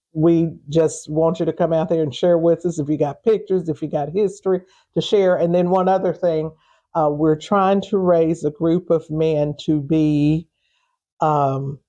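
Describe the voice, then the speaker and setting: A woman speaking off the cuff as an interview guest, pausing mid-phrase and repeating words as she goes. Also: low-pitched, warm tone. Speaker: interview guest, historical preservation group organizer. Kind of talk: unscripted interview talk.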